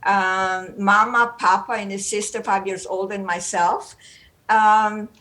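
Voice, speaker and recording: An older woman, from Romania, giving an unscripted motivational talk in an energetic, playful and rambling way, often repeating herself. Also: medium pitch, slow pace. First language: German. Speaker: guest speaker.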